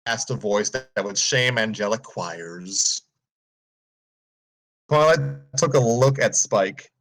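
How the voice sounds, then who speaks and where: A man's voice: on the low side, slow, a bit bright, slight background noise, rough recording. A man narrating a story aloud informally, sometimes stumbling and repeating phrases. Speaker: story reader on a livestream.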